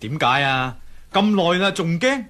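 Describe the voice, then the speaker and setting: An actor playing a level-headed adventurer in a Cantonese radio drama, explaining and reassuring. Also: male voice, a little high, very animated, moderate pace, sharp tone. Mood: reassuring, brisk.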